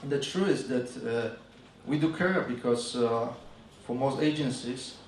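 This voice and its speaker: A man with a Polish accent giving a conference talk on advertising, halting and full of fillers and restarts. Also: medium pitch, a little hiss or hum. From Poland. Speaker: advertising agency speaker. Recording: echoey room from a distance.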